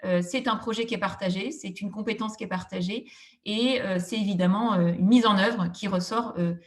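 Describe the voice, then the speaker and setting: A woman giving a formal, explanatory webinar presentation as a consultant, analytical and wordy, with very frequent filled pauses and repeated words. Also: medium pitch. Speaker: housing policy consultant presenting a study.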